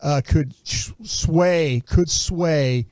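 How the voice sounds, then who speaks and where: A man breaks down draft prospects in a steady, matter-of-fact way. His voice sits a little high for a man, and it is recorded close and dry. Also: drawn-out words, no room sound. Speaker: sports analyst. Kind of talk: casual sports commentary.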